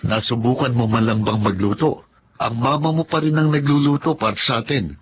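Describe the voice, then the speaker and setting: An actor playing a frustrated, blunt husband of thirty in a Filipino radio drama. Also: male voice, medium pitch, fairly even intonation, brisk, slight background noise, natural voice. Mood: exasperated, scolding. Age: 30s.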